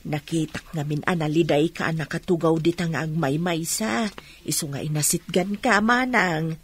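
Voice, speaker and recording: A woman's voice: low voice, moderate pace, husky. A woman playing a concerned, friendly younger companion in an Ilocano radio drama.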